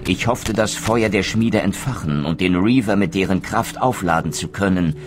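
An actor playing a bitter, solemn undead wraith in a video game, speaking in a grave, formal, theatrical way. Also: male voice, low-pitched, normal speed, noisy background, close to an everyday voice. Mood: grim, regretful. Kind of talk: dramatic scripted game dialogue and narration.

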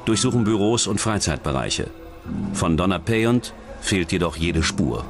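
A man's voice: sits low, animated, unhurried. A man reading a scripted true-crime documentary narration as its narrator. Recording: music bed under the voice. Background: background music.

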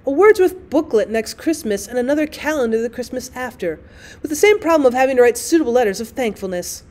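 A weary, tart wife from a classic storybook, read by a woman. Her fairly high voice carries wry, formal phrasing and practical impatience. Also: animated, fast, darkish tone. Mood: exasperated.

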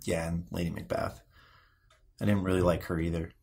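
An actor playing a guarded, easily frustrated sixteen-year-old boy in an audio drama, speaking in a halting, hesitant way. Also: low-pitched, level tone, creaky, a little mellow, slightly rough, full character voice. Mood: hesitant, uneasy. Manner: halting, mumbled, hesitant teenage speech. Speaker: actor playing a therapy patient. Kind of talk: naturalistic audio drama dialogue.

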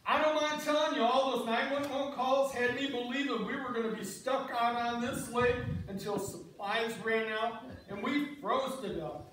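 A man's voice: very high-pitched, moderate pace, hardly any pauses, fairly bright tone. A frank, relieved angler acted by a man talks in folksy fashion on a readers' theater stage. The voice is far from the microphone in an echoing church. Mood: amazed relief. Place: church sanctuary stage, indoors. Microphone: distant audience camera. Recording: echoey church hall from far, faint noise.

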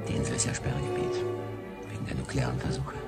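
A man's voice: a bass voice, completely flat delivery, fast talker. An actor playing a brooding, weary shipwrecked sailor who recounts his ordeal in a radio drama monologue. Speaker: narrator and main character. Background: music.

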